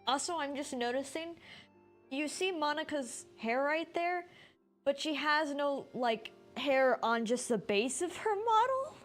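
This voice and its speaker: A woman's voice: sits high, expressive, measured pace. A woman streamer giving casual, rambling, informal live commentary on a game. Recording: music behind the voice.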